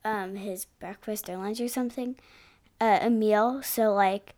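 A woman's voice: medium pitch, fairly even intonation, measured pace, a little mellow. A woman co-hosting a casual chat about comic strips, explaining things simply and literally, often saying "like". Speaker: podcast co-host.

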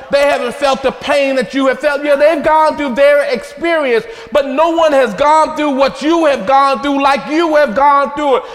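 A man preaching a sermon with passion, shouting in rhythmic, repeated phrases. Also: very high-pitched. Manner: impassioned, shouting in rhythmic repetitions. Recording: long hall reverb.

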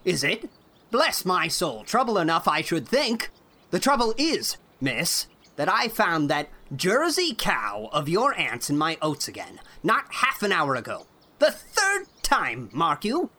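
An irate old farmer from a storybook, short and bald, splutters and scolds in a rough, swooping voice, as a woman reader puts him on. He barks with a bright, hard edge and big jumps in pitch. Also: full character voice.

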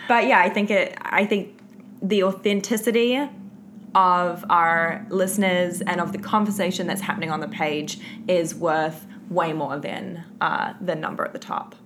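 A woman with a New Zealand accent speaks in a slightly low register. She co-hosts a podcast, and her delivery is that of casual, unscripted conversation between friends. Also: level tone, moderate pace, fairly bright tone, slight background noise. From Auckland, New Zealand. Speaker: podcast co-host. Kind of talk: casual chat.

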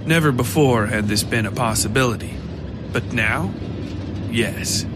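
A man narrating dark horror fiction in a dramatic, scripted storytelling style. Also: medium pitch, a little slow, noisy background. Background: music bed.

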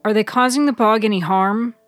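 A woman voicing a weary, frail, troubled woman in a hospital bed, halting and strained, in a story podcast. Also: mid-range voice, soft background music, voice pushed up, natural voice. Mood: urgent. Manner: halting, strained, sometimes harsh. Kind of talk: serial fiction audio storytelling.

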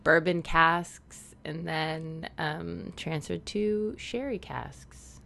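A woman's voice: deep voice, very slow, creaky, sharp tone. A Canadian woman co-hosting a podcast, chatting casually with lots of "like" and restarts. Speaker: podcast co-host. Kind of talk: casual chat.

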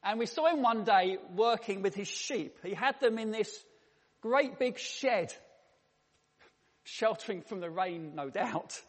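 A man's voice: sits high, animated, measured pace. A man preaching a sermon in a church, telling stories with a dry, humorous touch. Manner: humorous anecdotal storytelling. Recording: long reverb in a church hall.

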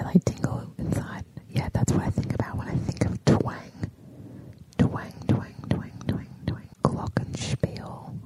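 A woman whispering in an ASMR style, speaking in a deliberate, playfully opinionated way. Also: low-quality recording.

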